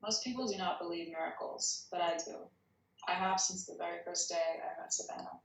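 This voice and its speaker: Woman acting devout teenage girl, earnest screenplay voice-over, slightly low pitch, roomy echo. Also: fairly even intonation, a little fast, vocal fry. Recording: echoey, roomy sound.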